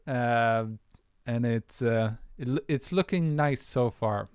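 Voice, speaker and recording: A man's voice: mid-range voice, slow pace, smooth, dry recording, clean studio sound. A man with a Swedish accent, chatting loosely with viewers on a music livestream, full of fillers and restarts. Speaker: music producer streamer. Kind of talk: casual livestream chat.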